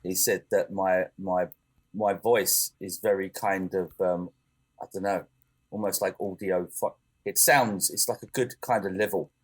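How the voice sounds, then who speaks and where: A man's voice: low voice, steady tone, measured pace, breathy edge. A man co-hosting a podcast, talking casually and hesitantly, with lots of fillers and self-corrections. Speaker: podcast co-host. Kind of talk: casual chat.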